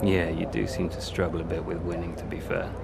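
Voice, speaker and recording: A man's voice: low-pitched, little pitch movement, fairly quick, creaky, heavy background noise. An actor playing a smug, cocky young racing driver who taunts his rivals sarcastically. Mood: mocking.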